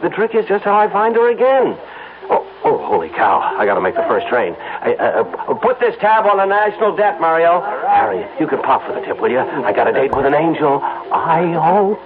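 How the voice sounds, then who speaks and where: An American actor playing a smitten, excitable advertising man in an old-time radio drama, effusive and dreamy. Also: a little high, moderate pace. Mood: excited. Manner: effusive, given to dreamy rhapsodies. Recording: muffled vintage radio broadcast, music behind.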